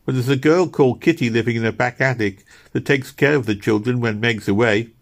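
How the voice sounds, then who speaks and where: A man's voice: medium pitch, fairly quick, pitched above the performer's own voice, lightly acted. A man voicing a kindly, motherly older woman with a raised, homely, bustling way of talking. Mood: fretful.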